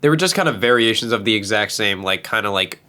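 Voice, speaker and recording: A man's voice: fairly low pitch, expressive, quick pace, pushed and bright. A man talking casually in a group discussion, rambling and often repeating his phrases as he goes. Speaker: guest panelist.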